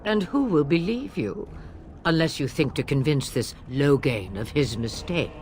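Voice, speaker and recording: A woman's voice: low-pitched, expressive, measured pace, a little mellow, slight background noise. An actress playing a shrewd, imperious old witch mother in a video game, speaking in a theatrical, commanding, wry manner. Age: older. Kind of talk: dramatic video game acting.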